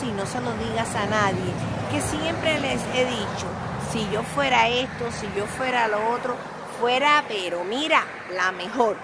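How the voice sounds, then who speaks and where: A woman's voice: fairly high pitch, very noisy. A middle-aged Cuban-born woman vlogging outdoors into a phone held at arm's length, with a theatrical, self-praising, sing-song manner. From Cuba. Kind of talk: casual self-filmed vlog. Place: outdoors, by a grassy lot.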